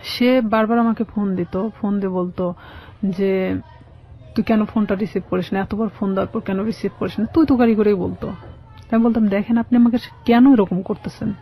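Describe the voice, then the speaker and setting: A Bangladeshi woman giving personal testimony as an interview guest, in a halting, plain-spoken way. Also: medium pitch, fairly even intonation. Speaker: guest telling her life story. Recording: dull, muffled lo-fi sound.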